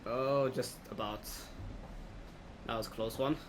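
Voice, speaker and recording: A man giving casual, low-key let's play commentary, thinking aloud as he goes. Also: unhurried. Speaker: gaming video host. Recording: clear background noise, steady hum.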